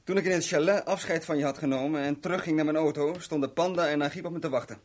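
A man's voice: on the high side, fairly even intonation, fast talker, natural voice. An actor playing a cocky, scheming small-time crook in a 1970s Dutch radio drama, chummy and mock-friendly as he boasts and explains. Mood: chummy, explaining.

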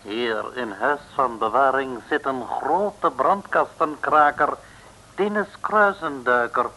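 An actor playing a sly, cringingly polite foreign villain in an old radio serial, talking in broken, formal phrases. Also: male voice, mid-range voice, slow pace. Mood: sly, flattering. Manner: broken grammar, self-abasing flattery, formal address. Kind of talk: 1960s radio serial acting. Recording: noisy old radio recording.

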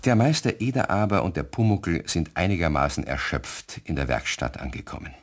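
A man's voice: low-pitched, big pitch swings, brisk, heavily acted. A man narrating a scripted children's story in a German radio play, speaking vividly to young listeners. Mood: calm telling. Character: male storyteller addressing children.

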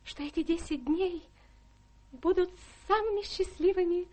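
An actress in a radio play voicing a demure, ingratiating poor young woman with breathy, effusive sentiment. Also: very high voice, unhurried, dark tone. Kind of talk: theatrical radio play acting.

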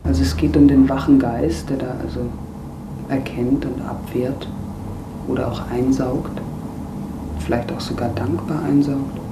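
A medium-pitched speaker explains in a halting, deliberate manner. The recording is echoey, with clear noise behind the voice. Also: level tone. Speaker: local political party member. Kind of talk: spontaneous explanatory speech.

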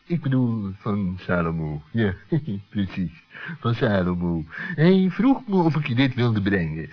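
A man's voice: fairly low pitch, big pitch swings, a little slow. A man playing a shifty, cowardly fox in a children's radio play, oily and evasive, with a hesitant stammer. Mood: nervous, evasive. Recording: dull lo-fi old radio recording.

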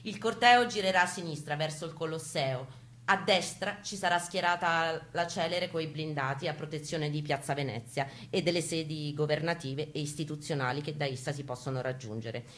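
A woman giving a theatrical, expressive first-person reading from a book, in a storytelling style. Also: low-pitched, normal speed. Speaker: reader performing a book excerpt. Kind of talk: dramatic first-person literary reading with guitar. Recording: live reading over acoustic guitar. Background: acoustic guitar music.

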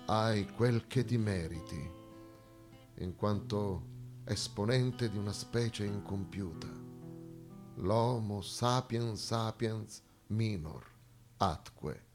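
A man performing a rhetorical stage monologue, emphatic and swinging between hushed and loud delivery. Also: on the low side, slow pace, pauses often, music in the background. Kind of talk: theatrical monologue on history.

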